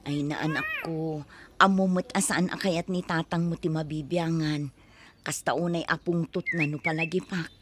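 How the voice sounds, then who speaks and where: A woman's voice: low-pitched, steady tone, normal speed, natural voice. A woman playing a long-suffering, plaintive village wife and mother with an Ilocano accent in an audio drama. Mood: soothing, resigned. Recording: clearly noisy ambient background.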